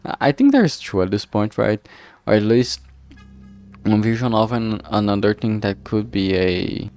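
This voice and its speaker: A man giving a casual, unscripted livestream update in a halting, rambling way, often trailing off mid-phrase. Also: on the low side, animated, a little mellow, soft background music. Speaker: streamer, visual novel maker.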